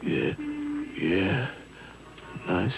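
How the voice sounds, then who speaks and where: A man's voice: sits low, animated, very slow, heavily acted. An actor playing a dying gunshot man who falters weakly through his words in a 1950s radio drama. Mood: weak, fading. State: weak, faltering, barely breathing out words. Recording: noisy old radio broadcast on cassette.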